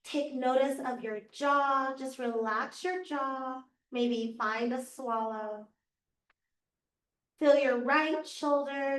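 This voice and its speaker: A woman yoga teacher guiding a class through slow, soothing cues, her voice a little raspy from losing it. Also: high voice, a bit bright. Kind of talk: guided yoga class instruction. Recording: echoey room, voice from far off.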